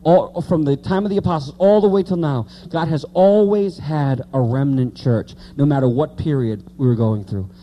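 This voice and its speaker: A man's voice: a little high, normal speed, hardly any pauses, warm tone, slight background noise. A man in his late thirties preaching an informal evangelical sermon, repeating key words for emphasis and speaking conversationally to the congregation. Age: late 30s.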